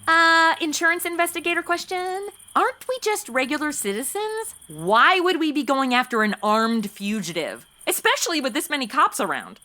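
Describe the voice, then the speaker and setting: A woman's voice: sits high, sing-song, moderate pace, few pauses, bright, forceful tone, heavily acted. A woman acting as a sassy, flippant modern young woman in a comedy audio drama, sarcastic and exclamatory.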